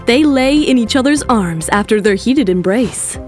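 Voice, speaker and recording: Female narrator, high pitch, scripted dramatic storytelling, audio drama, music bed. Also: lively intonation, moderate pace.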